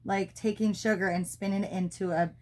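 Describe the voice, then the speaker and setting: Woman reading a storybook aloud, mid-pitched. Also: fairly even intonation, fairly quick, a little mellow. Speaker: mother co-reading with her child. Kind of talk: expressive storybook read-aloud.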